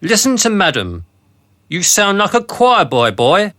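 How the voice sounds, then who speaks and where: Man to play overbearing sinister boss in radio drama: pompous, clipped, highish voice, swooping intonation. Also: huge pitch swings, unhurried, sharp tone, no room sound, exaggerated voice. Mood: stern, belittling.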